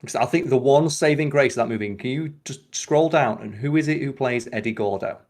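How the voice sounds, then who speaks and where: Fast-talking, sweary male podcast host. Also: medium pitch, a little mellow. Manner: sweary, opinionated. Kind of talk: casual podcast chat.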